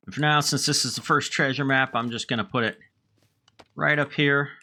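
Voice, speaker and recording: A man with a slight head cold, narrating his own game playthrough in a friendly, relaxed way. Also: medium pitch, moderate pace. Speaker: let's play host. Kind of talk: gameplay commentary.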